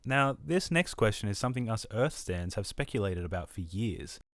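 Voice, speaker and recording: A man's voice: fairly low pitch, lively intonation, normal speed, dry recording, studio quality. A man playing an earnest amateur radio host and Earth enthusiast, presenting casually in an audio drama.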